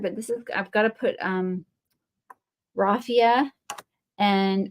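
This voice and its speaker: A woman with a Texan accent hosting a live crafting stream, chatting in a rambling, friendly way with viewers as she works. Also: medium pitch. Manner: chatty and rambling, talks to viewers while working; friendly, answers viewer comments. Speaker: craft livestream host. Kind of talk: casual live crafting chat.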